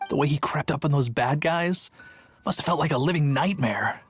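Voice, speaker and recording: An actor playing a chatty, sheepish city guard in a video game who tells a long story and gets defensive. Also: male voice, fairly high pitch, moderate pace, slightly rough, faint music behind.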